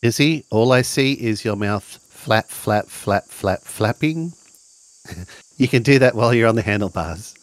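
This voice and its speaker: A man's voice: on the low side, expressive, a little slow, no room sound. A man playing a cheeky slacker schoolboy who casually teases his friends in an improvised tabletop game. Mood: teasing. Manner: teasing, casual.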